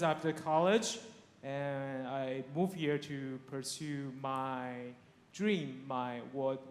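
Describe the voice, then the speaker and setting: A man tells his own story to a congregation, in a somewhat high voice and a chatty, laughing, halting way. His words ring through a reverberant church hall. A faint noise sits under the sound. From Taiwan. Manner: chatty, laughs often, many restarts and fillers. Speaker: guest speaker. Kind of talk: personal testimony to a congregation. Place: church sanctuary.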